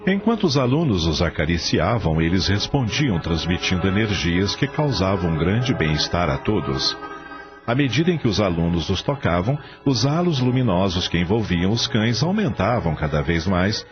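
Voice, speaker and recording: Male storyteller, Brazilian, low register with wide inflection, scripted radio-drama narration over clear music, slightly lo-fi. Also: sing-song, moderate pace. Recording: dull lo-fi audio. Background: clear music bed.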